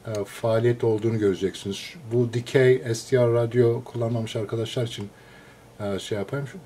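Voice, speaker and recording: A man, a radio hobbyist, explains a receiver in a casual, unscripted walkthrough, pausing between short phrases. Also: medium pitch, warm tone, slight background noise. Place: indoors, filming a computer screen.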